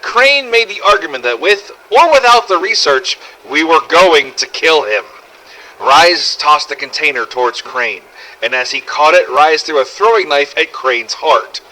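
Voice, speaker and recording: A man's voice: on the high side, lively intonation, measured pace, bright, forceful tone, low-quality recording. A man narrating a scripted first-person survival story in character, with a dramatic, gritty delivery and some swearing. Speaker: narrator of fan-made game audio logs.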